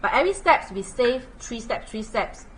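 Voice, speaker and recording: Animated Singaporean woman tutoring math. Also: a little high, normal speed, slight background noise, low-quality recording. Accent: Singaporean English. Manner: chatty, animated, uses local particles like lah and leh. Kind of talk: livestream math lesson.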